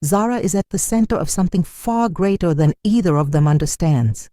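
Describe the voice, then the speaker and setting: Folktale narrator, mid-pitched and mellow, solemn dramatic read, very dry recording. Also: animated, brisk, dry recording. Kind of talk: dramatic scripted storytelling narration.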